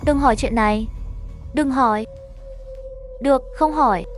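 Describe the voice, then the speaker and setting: A woman's voice: a little high, measured pace, soft background music. A woman reading a scripted horror story, narrating and speaking all the characters' dialogue herself.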